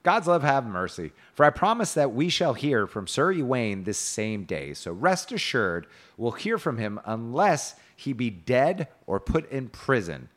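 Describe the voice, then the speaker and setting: A man's voice: mid-range voice, very animated, measured pace, pitched above the performer's own voice. A man reading out a courteous, earnest knight from an old romance in formal, pleading phrases.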